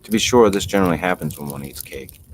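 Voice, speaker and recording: Male podcast storyteller, low register, reading a children's tale over audible noise. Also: lively intonation, fast. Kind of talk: storybook narration. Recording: noisy home podcast recording.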